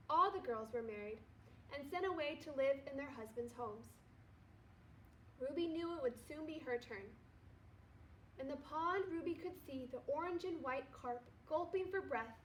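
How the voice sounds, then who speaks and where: Casting: female second grade teacher, high-pitched, storybook read-aloud with noticeable background noise. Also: lively intonation, moderate pace, poor audio.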